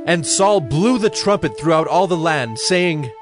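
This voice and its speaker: A man narrating dramatized scripture in a measured, solemn way. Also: fairly high pitch, animated, music in the background.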